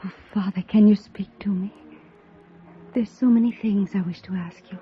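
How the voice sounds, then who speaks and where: An actress playing a credulous young woman at a séance, breathless, hushed and pleading in old radio drama style. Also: medium pitch, fairly even intonation, normal speed, lightly acted. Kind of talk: 1950s radio drama acting. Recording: muffled old radio with faint hiss.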